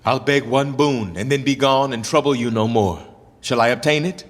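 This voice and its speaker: A man's voice: medium pitch, long reverb tail, natural voice. An actor playing a proud, bitterly ironic deposed king who mourns himself in theatrical Shakespearean verse for radio. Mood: bitter, musing. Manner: theatrical verse delivery, musing, mocking pauses. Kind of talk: Shakespearean radio drama acting.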